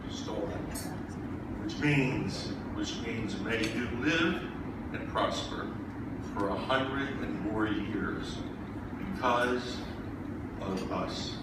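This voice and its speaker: Native Polish-speaking man, gala speaker, formal oratory with dry quips, mic far off in a booming hall. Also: extremely slow, pauses often, heavy background noise. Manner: oratorical, with wry joking asides. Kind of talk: formal after-dinner speech. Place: hotel banquet hall. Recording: very echoey hall from far off, loud ambience.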